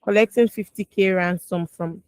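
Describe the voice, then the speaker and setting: A Nigerian woman co-hosting a radio newspaper review, reading headlines briskly and adding short remarks. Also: on the low side, steady tone, a little mellow, clean tone, no room sound. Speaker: radio news review co-host. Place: radio studio.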